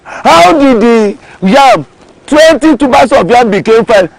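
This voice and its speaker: A man's voice: extremely high pitch, expressive, slow pace, creaky, exaggerated voice, rough recording. A man with a Nigerian English accent voicing a betrayed male snail farmer who exclaims and questions angrily. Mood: puzzled.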